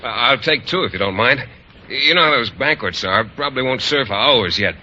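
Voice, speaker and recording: An actor playing an earnest, naive American biology teacher in an old radio sitcom, boyish and a bit hesitant. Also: male voice, medium pitch, animated, fairly quick, sharp tone. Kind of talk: 1940s-1950s American radio sitcom acting. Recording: muffled old radio broadcast, faint noise.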